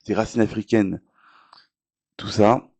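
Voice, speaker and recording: Low-voiced jokey male host. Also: steady tone, slow pace, creaky, a little mellow. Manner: jokey, chatty, with frequent fillers. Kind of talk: TV interview hosting.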